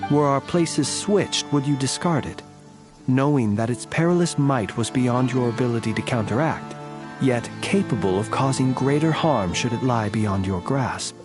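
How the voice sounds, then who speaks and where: A man's voice: medium pitch, lightly acted. An actor playing a courtly, world-weary, proud and stoic male warrior in a video game, speaking in formal, archaic phrasing. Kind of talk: video game voice acting, scripted dialogue.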